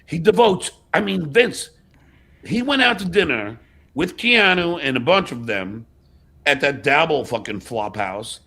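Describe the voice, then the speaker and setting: Man, livestream host, lively swooping pitch, forceful bright tone, profane, blunt, casual chat. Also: a little slow, vocal fry.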